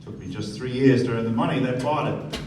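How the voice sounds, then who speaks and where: A man's voice: medium pitch, fairly quick, a little mellow, lightly acted. An actor playing a nervous, eager, genteel rich man with clipped politeness in a radio play. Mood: eager, boastful. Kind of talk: live radio play acting. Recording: very echoey, distant, noisy stage recording.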